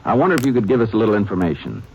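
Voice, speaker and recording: An actor playing a calm, plain-spoken Texas Ranger who also narrates, in a 1950s radio drama. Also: male voice, fairly low pitch, very fast, a little hiss or hum. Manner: calm, laconic, matter-of-fact. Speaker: lead and narrator. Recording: dull old radio broadcast recording.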